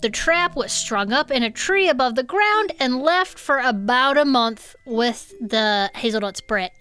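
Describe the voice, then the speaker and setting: A woman's voice: high voice, animated, a little slow, sharp tone, clear, resonant tone, no room sound, heavily acted. A woman playing a cheerful, patient cryptid club archivist in chatty, improvised comedic audio fiction. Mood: storytelling. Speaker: podcast co-host.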